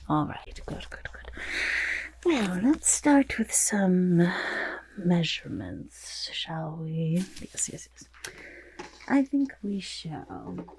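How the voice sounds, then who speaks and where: A woman playing a vain, fussy goblin portrait artist in an ASMR roleplay, with a raspy half-whisper and pompous, flattering, hesitant talk. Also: lowish voice, very slow, heavily acted. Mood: fussy flattery. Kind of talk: ASMR roleplay, soft personal attention.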